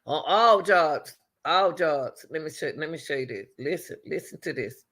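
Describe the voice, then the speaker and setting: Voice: mid-range voice, animated, moderate pace, fairly bright tone. A man giving impassioned, repetitive, exhorting reaction commentary as the host of an online show.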